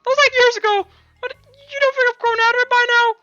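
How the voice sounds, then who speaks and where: A man voicing a defensive, easily riled schoolgirl in a shrill falsetto for a video game. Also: steady tone, fast, bright, forceful tone, no echo, lo-fi sound, faint music behind. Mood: sulky. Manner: snappy, indignant. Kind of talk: video game fan voice-over.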